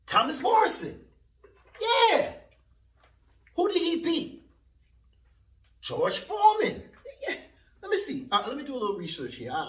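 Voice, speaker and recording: A man's voice: fairly high pitch, distant mic. A man in his forties, casually rambling to his viewers as host of his own channel. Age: 40s. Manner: rambling, animated, talks straight to viewers. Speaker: vlog host. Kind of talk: casual commentary. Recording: echoey room, voice away from mic.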